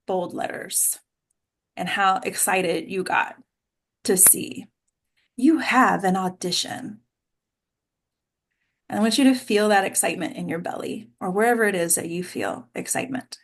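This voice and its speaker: A woman casually hosting an online group of actors as their coach, informal and encouraging as she guides them over a video call. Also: medium pitch. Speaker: host and acting coach. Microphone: computer mic on a video call.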